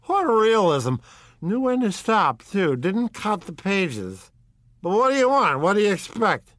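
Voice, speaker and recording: A stout, middle-aged drunk man, as a male narrator puts him on, blurting and rambling with giddy excitement. His voice jumps high and loud above the reader's own, swooping around as he talks. The sound is dry and close, with no room around it. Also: measured pace, no echo, full character voice. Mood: excited.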